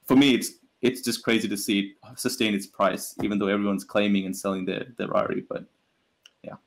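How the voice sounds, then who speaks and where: A man's voice: fairly low pitch, fairly even intonation, fairly quick. A man speaking as a panelist in a casual discussion, tentative and thinking aloud.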